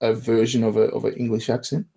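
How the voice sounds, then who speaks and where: A man's voice: mid-range voice, level tone, fast, gravelly, dark tone, breathy edge. A man with an Australian accent hosting a casual online chat, swearing freely and laughing often. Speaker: podcast host.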